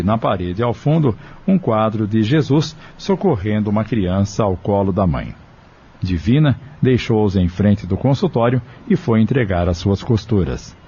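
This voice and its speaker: A Brazilian man narrates an audio novel from a script. His voice is fairly low, mellow and husky. The recording is a touch muffled.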